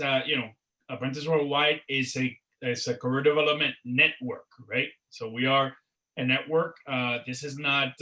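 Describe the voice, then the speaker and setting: A man with a very bright, sharp voice gives closing thanks on an online panel. He rambles, repeating words and leaning on "uh" and "you know." He sounds like he is on a video call. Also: mid-range voice, rough recording. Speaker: organizer of a career network panel. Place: Miami.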